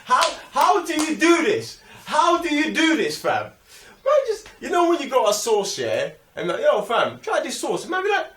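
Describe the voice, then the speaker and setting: A young man with a London accent chatting casually about a film in an animated, slangy way. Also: extremely high pitch, moderate pace, hardly any pauses. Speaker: film review show host. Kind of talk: casual movie review chat. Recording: roomy, distant microphone.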